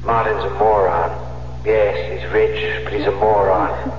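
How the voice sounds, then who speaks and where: Radio play: male actor as ghostly dead husband, mocking, calm and deliberate, low-pitched, heavy reverb. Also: soft background music, lightly acted. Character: dead husband phoning his wife, calm, taunting, sinister. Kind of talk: 1970s radio drama acting. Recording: muffled reverberant phone voice, humming old radio.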